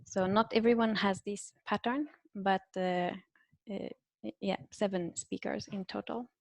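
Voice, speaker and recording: A woman's voice: medium pitch, steady tone, no room sound. Woman giving an academic talk. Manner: measured, frequent "uh" fillers, explanatory. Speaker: linguistics researcher presenting a talk.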